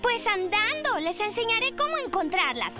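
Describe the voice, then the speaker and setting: A very high, animated young triceratops girl in a cartoon, with a Latin American accent. She is bossy and boastful, talking like a know-it-all over music. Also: normal speed. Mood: boastful, confident. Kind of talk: Spanish cartoon dub acting.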